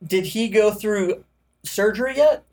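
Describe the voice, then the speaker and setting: A man's voice: high voice, unhurried, darkish tone. A man in a casual podcast chat, teasing the others and trailing into fillers. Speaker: podcast co-host.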